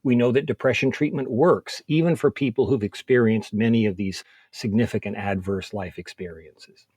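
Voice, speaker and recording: Low, slightly husky male medical expert. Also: darkish tone, no room sound, studio quality. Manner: measured, methodical, explains point by point. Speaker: physician, expert interview guest. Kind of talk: explanatory medical interview.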